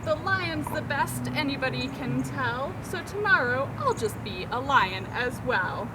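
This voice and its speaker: Woman reading as an excitable, high-voiced storybook emu. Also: measured pace, heavy background noise. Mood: decided, pleased. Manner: expressive, declaiming in rhyme. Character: restless, eager male emu in a storybook.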